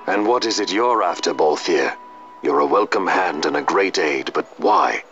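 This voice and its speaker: A man playing a stoic, honor-bound knight in a fantasy video game, speaking formally and earnestly in measured phrases. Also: sits low, expressive, fairly bright tone, exaggerated voice. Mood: grave, probing. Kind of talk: formal, archaic video game drama acting. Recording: muffled game audio under clear music.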